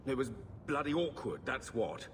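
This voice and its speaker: An actor playing a gruff, awkward middle-aged father in a fantasy video game, halting and grumpy. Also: mid-range voice, very animated, big-room sound, a little hiss or hum, close to an everyday voice. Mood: gruff, grudging.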